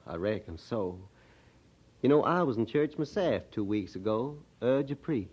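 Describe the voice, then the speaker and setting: An actor playing a humble, ashamed poor country drunkard with a rural Southern American accent, halting and folksy, in a radio drama. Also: male voice, medium pitch, expressive, a little mellow, slightly rough. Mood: humbled, troubled. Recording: dull old radio drama recording.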